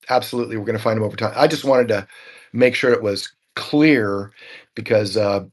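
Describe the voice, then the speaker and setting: A man hosting a casual tech talk show, talking in a rambling way and often repeating words as he thinks. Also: medium pitch. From Norwegian family background. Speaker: show host.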